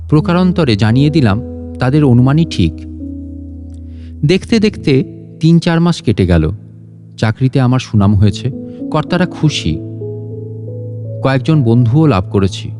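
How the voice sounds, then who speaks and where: Male storyteller, mellow dark tone, mid pitch, measured dramatic literary narration, soft plucked-string music behind. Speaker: audiobook narrator and story channel host. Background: soft plucked-string instrumental music.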